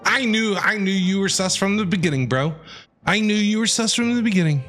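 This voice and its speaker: A man's voice: sits high, a little fast, a bit bright, soft background music. A man livestreaming a game, reacting in casual, blunt slang and often repeating himself. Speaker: game streamer.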